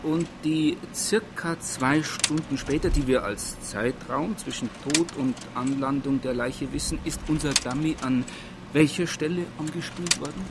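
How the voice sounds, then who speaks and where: An actor playing an officious police chief who leads a murder investigation in formal, pedantic, bureaucratic phrasing. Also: male voice, fairly high pitch, a little slow, background noise. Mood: businesslike. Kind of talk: naturalistic radio drama acting.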